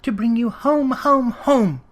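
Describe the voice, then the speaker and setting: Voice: mid-range voice, slow, pitched above the performer's own voice, lightly acted. A narrator voicing an affectionate, eager young girl in a classic storybook, full of glee. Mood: joyful. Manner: eager, breathless delight. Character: little Fan, gleeful affectionate young girl.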